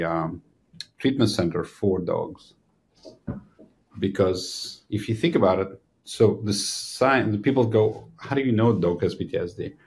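A man in his sixties with a fairly low voice. He hosts an interview and explains points in an animated way. He sits at a desk podcast mic in a small studio. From Ukraine. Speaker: physician host. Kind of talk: interview conversation. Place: small studio room with a banner.

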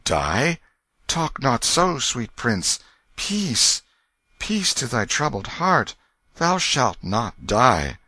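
A man voicing a stern old king turned gentle, anxious father in an audiobook, with stately, coaxing archaic speech. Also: mid-range voice, big pitch swings, slow, no room sound, voice pushed up, full character voice. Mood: soothing, reassuring. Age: older. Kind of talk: audiobook character voice.

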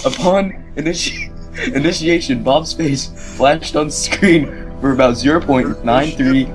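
A man's voice: a little high, unhurried, music in the background. A man reading a horror story aloud for laughs, chuckling through the lines. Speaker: reader of a creepypasta. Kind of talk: comedic dramatic reading.

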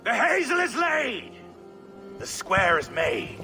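An actor playing a boastful, mocking Danish raider warlord in a video game, with a gravelly, theatrical delivery. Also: male voice, very high voice, wildly swooping pitch, slow pace, over music, exaggerated voice. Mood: battle-roaring, triumphant. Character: brash, taunting Danish raider warlord, battle-hungry man. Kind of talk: video game cutscene acting.

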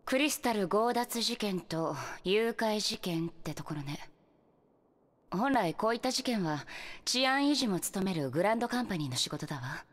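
A woman voicing a calm young leader of an adventurers' order in a Japanese video game, measured and explanatory. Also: medium pitch, lightly acted. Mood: composed, businesslike.

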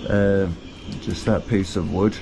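A man's voice: deep voice, steady tone, slow. Rambling man in a noisy boat cabin. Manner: rambling, self-deprecating, practical explaining. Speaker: boat renovation vlogger. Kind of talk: casual vlog chat. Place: inside a boat cabin under renovation. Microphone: handheld wide-angle camera close up. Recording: noisy boat workshop ambience.

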